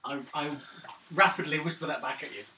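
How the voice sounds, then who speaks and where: Male tabletop player, lightly raised pitch, joking conversational tone, captured in a roomy space from a short distance. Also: a little fast. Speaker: player in a tabletop role-playing game. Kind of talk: casual banter. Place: room, recorded around a gaming table. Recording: roomy, distant mic, faint noise and clicks.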